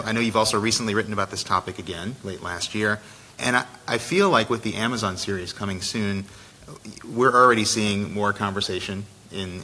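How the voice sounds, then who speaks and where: A man's voice: sharp tone, rough recording. A man co-hosting a live podcast interview, putting questions to a guest in an earnest, careful way. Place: live recording at a conference venue. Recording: background noise, low rumble, live event.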